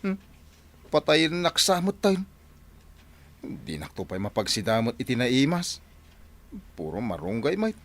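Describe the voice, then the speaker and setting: A man's voice: mid-range voice, sing-song, measured pace, pauses often, fairly bright tone, dry recording. A man playing a grumbling, henpecked working husband in an Ilocano radio drama, whining and exclaiming with a northern Philippine accent. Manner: whining, exclamatory, comic complaining. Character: Pedro, grumbling, henpecked construction-worker husband and father.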